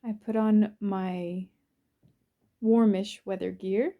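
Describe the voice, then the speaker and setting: A woman narrates a guided visualization in a soothing, unhurried way, in short phrases with pauses between them. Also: mid-range voice, fairly even intonation, narrow, tinny audio. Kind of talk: guided visualization, first-person present-tense narration.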